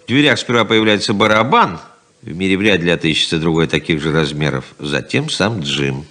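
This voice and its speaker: A man narrating a radio play from a script in a measured, literary manner. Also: sits low, lively intonation, fairly bright tone.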